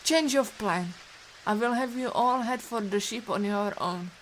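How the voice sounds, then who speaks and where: An actress plays a resolute woman rescuer with a Russian accent and a somewhat high voice. She speaks in formal, clipped commands, protective but brusque. Light hiss and rain sit behind her in this audio drama. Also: unhurried, lightly acted. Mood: firm, impatient.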